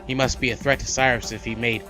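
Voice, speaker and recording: A man hosting a let's play, reading a visual novel aloud with animated, slangy casual asides. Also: mid-range voice, quick pace. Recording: loud background music. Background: game music.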